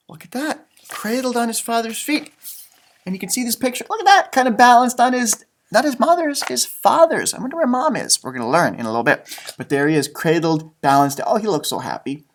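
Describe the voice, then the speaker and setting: A man's voice: very high-pitched, sing-song, brisk. A man reading a storybook aloud to children, chatting in exaggerated teacherly asides and repeating phrases for young listeners.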